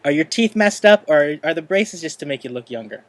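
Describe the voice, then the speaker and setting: A man's voice: a little high, lively intonation, a little fast, no room sound. Joking rambling man in his late thirties. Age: late 30s. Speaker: podcast host. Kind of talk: casual interview chat.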